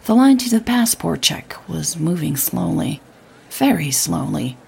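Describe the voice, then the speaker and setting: A woman with a husky, breathy voice narrating a scripted audio drama story. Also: lowish voice, very animated, a little slow, a little mellow, slight background noise.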